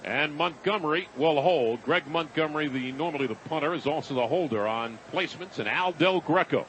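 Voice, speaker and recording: High-pitched American football radio announcer, man. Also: brisk, a bit bright. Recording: noisy stadium radio broadcast. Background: stadium noise.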